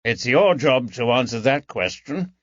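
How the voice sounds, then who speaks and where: A man voicing a brisk, sardonic gentleman surgeon in an audiobook, clipped, formal and condescending. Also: mid-range voice, animated, no echo, lo-fi sound. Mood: matter-of-fact. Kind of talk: audiobook character dialogue.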